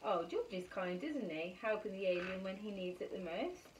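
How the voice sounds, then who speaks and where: Animated woman reading stories to children. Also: medium pitch, normal speed, slight background noise. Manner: animated, exclaiming, asking rhetorical questions. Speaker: primary school bedtime story reader. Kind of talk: expressive picture-book reading for children. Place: small room at home. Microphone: camera a metre or two away. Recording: slightly distant phone camera recording.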